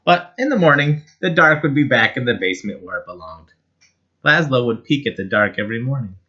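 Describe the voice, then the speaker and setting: A man reading a children's picture book aloud in an expressive, measured and dramatic storytelling style. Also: mid-range voice, lo-fi sound. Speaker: storybook reader.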